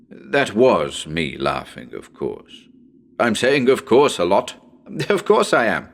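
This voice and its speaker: A man playing a jittery radio ghost hunter who is losing his nerve, muttering haltingly and repeating himself in a dramatic horror reading. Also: lowish voice, a bit bright, full character voice. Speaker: narrator reading a horror story.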